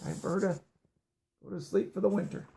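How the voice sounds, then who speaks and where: A man's voice: sits high, brisk, vocal fry, dark tone. A man reading a children's picture book aloud, stopping to explain words in a teacherly way. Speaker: storybook reader.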